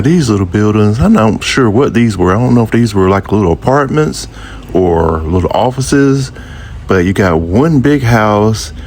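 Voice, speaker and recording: A man in his fifties with a low voice and a Southern American accent. He talks in a folksy, conversational way, as if chatting to his viewers while telling a personal story. He is outdoors, with noticeable ambient noise and cars behind him. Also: darkish tone. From Jacksonville, United States. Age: 50s. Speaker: vlogger. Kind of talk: casual personal storytelling to camera. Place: outdoors by an old building near a highway.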